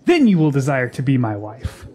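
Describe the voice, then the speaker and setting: Man doing an eager lovesick braggart youth, husky voice swinging up and down, fantasy audio drama. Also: mid-range voice, wildly swooping pitch, normal speed, mellow. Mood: boastful. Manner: boastful, emphatic. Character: boastful lovesick young man, eager and easily frustrated. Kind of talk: fantasy radio drama acting.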